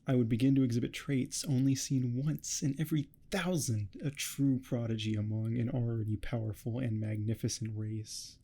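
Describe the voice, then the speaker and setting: A man's voice: medium pitch, fairly even intonation, moderate pace, few pauses, dark tone, natural voice. A man playing an obsessive, menacing neighbor who longs to be a vampire, in a theatrical ASMR roleplay monologue. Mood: wistful, fervent. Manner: theatrical, grandiose monologuing, smugly threatening.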